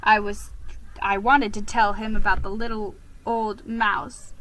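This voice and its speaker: A woman's voice: medium pitch, flat delivery, a little slow, a bit bright, lightly acted, low-quality recording. A woman voicing a beleaguered male mouse who tells his own story in a dramatic, self-pitying manner. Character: beleaguered, melodramatic male mouse narrator, a publisher.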